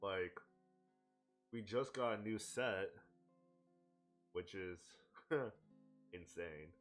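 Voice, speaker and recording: A man's voice: sits low, extremely slow, fairly bright tone, soft background music. A man in a casual streaming chat, laid-back and chatty, laughing often. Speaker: streamer co-host.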